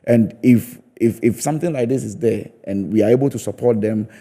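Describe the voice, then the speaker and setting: A man's voice: mid-range voice, fairly quick, mellow. A man with a Ghanaian accent speaking conversationally as a guest in a TV interview, often repeating phrases for emphasis. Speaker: theatre play maker and comedy performer, interview guest.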